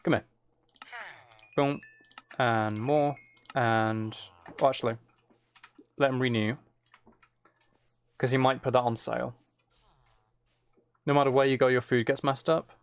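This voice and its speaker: Male game streamer, gravelly medium-pitched voice, low-key casual chat, close mic with keyboard clicks. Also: fairly even intonation, a little slow, long pauses. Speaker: streamer playing a game while chatting with viewers.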